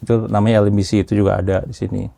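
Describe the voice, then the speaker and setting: A man's voice: lowish voice, little pitch movement, racing, gravelly, dark tone, dry recording. A man casually explaining technical matters as an expert, often checking understanding with short tag questions. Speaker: audio system engineer being interviewed as an expert.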